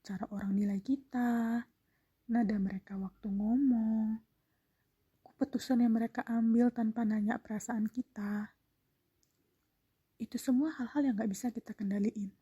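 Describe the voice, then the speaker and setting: A young woman, confiding gently in a breathy, half-whispered, intimate reflective monologue as a solo podcast host. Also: on the high side, steady tone, moderate pace, long pauses.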